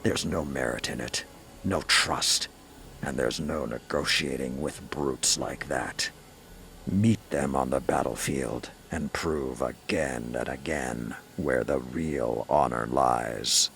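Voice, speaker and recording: An actor playing a gruff, proud male warlord who talks bluntly about war and honor. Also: a bass voice, takes it slowly, full character voice. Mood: grim. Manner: rousing, forceful war talk. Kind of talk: video game cutscene dialogue. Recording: game score behind.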